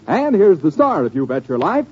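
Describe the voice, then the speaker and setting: High-voiced, animated American radio announcer man. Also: normal speed, breathy edge. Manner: grand showman delivery. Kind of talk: 1940s radio announcing, scripted. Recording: dull old radio broadcast recording. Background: faint noise, some audience sound.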